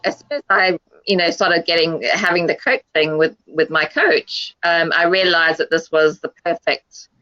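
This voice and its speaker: Bright-voiced woman on a web call. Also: on the low side, fairly even intonation, moderate pace. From South Africa. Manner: hesitant, many ums. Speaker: guest. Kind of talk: casual online panel chat. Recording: dull lo-fi web call audio.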